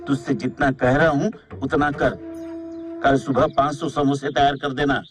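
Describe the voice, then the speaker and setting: A man's voice: mid-range voice, level tone, moderate pace, natural voice. Man playing a jealous, scheming shopkeeper villain. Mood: bossy. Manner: sly, imperious, muttering to himself. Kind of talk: Hindi cartoon story acting.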